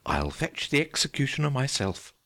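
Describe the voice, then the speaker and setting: A man's voice: mid-range voice, very animated, moderate pace, fairly bright tone, dry recording, clean studio sound. A man playing a fussy, pompous and peevish king in a full-cast audiobook, speaking with great decidedness.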